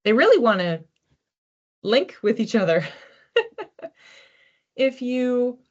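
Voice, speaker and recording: A woman hosting an informal psychic card reading in a conversational, reflective way, with animated asides. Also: on the high side, slow, dull audio. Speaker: psychic card reader, host.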